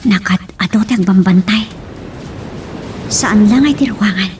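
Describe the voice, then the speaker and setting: A woman's voice: mid-range voice, measured pace, a little mellow, soft background music, close to an everyday voice. A woman with an Ilocano accent playing a scheming, domineering mother in a radio drama. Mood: watchful. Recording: distant from mic, clearly noisy ambience.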